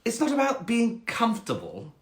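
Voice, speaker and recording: A man voicing a snobby, bossy storybook cat in a haughty, drawn-out, insistent way. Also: very high voice, wildly swooping pitch, vocal fry, roomy sound, voice pushed up, exaggerated voice. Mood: smug, insistent.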